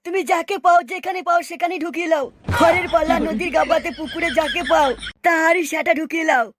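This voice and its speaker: A woman voicing an excitable, flattering village husband in a cartoon, speaking emphatically and repeating himself. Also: very high voice, fairly quick, crowd noise. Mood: loud, emphatic. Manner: emphatic, repetitive. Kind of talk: cartoon dialogue. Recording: noisy track with clicks.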